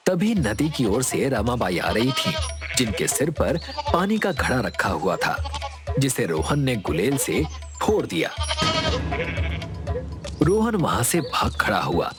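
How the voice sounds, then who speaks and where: A man reads a scripted children's tale as its narrator. His voice is mid-pitched and moves about in a lively way, with music clearly behind it. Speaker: story narrator.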